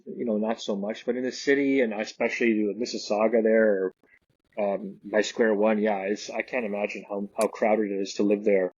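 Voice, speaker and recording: Guy on a podcast, kinda husky, dry slightly muffled audio, keeps restarting his sentences. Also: on the low side, darkish tone, no room sound. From Canada. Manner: hesitant, often restarts and repeats words. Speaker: guest, realtor and investor. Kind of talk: podcast interview conversation.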